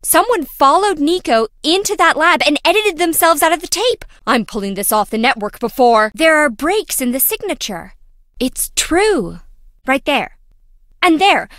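An urgent young tech expert. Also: female voice, sits high, sing-song, a little slow, fairly bright tone, dry recording, studio quality, heavily acted. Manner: urgent, focused. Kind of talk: video game voice acting.

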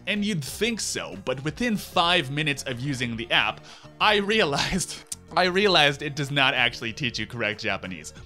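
A man in his twenties hosts a comedic review with open sarcasm and exasperation. His bright, forceful voice moves through lively, exaggerated intonation. It is recorded close at a desk microphone, with faint music behind it. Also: fairly high pitch. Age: 20s. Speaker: YouTube reviewer, host. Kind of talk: scripted comedic review commentary. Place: small room at home, indoors.

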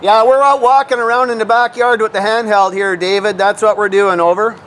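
A man's voice: sits high, steady tone, fairly quick, fairly bright tone. A man, talking casually outdoors as a radio hobbyist and vlogger. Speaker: vlogger and amateur radio operator. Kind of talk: casual outdoor vlog and radio contact talk. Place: outdoors in a grassy backyard. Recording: outdoor camera audio with clear background noise.

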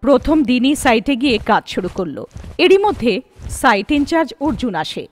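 A woman's voice: sits high, sing-song, a little fast. A woman reading scripted horror story narration as the storyteller. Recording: music behind the voice.

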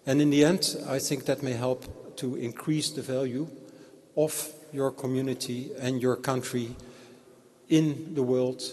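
A man giving a ceremonial speech and introducing a guest speaker, measured and hesitant, with frequent fillers. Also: medium pitch. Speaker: institute representative introducing speaker. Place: large old church. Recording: long church reverb.

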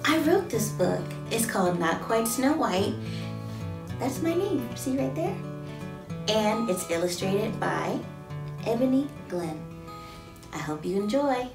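A woman's voice: a little high, sing-song, takes it slowly, long pauses, over music. A woman in her thirties reads a picture book aloud to children, expressively, stretching key words and asking her young listeners questions. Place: small plain indoor room. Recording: roomy, distant mic, faint background noise.

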